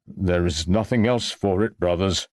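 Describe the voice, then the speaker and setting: A man's voice: fairly low pitch, normal speed, darkish tone, dry recording, studio quality, close to an everyday voice. Reluctant storybook undertaker, acted by a male reader, formal, deferential, dryly recorded. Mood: reluctant, solemn.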